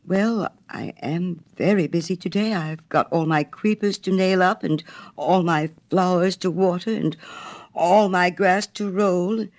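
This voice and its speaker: A woman's voice: lowish voice, a little slow, a little mellow. A woman voicing a humble, trusting, eager-to-please little man in a storybook reading, earnest and guileless. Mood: apologetic.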